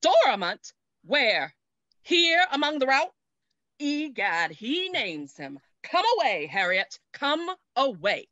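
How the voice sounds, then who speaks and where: A woman's voice: high-pitched, very animated, slow, bright, forceful tone, no echo, muffled recording, full character voice. A woman playing an alarmed, prudish mother in a period comedy, dramatic and disapproving, over a video call. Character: alarmed, prudish, scandalized mother of a young lady. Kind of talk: theatrical period comedy reading. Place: indoor room, video call.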